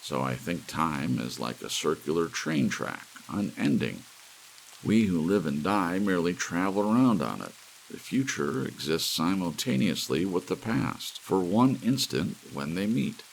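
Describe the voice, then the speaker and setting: A man performs an elderly, brainy scientist in a sci-fi audiobook. He lectures briskly and emphatically in a low, creaky voice with animated pitch, over a faint hiss of rain. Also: exaggerated voice, rough recording. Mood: lecturing. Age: 60s. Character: brisk, brainy elderly male scientist, intent and self-assured.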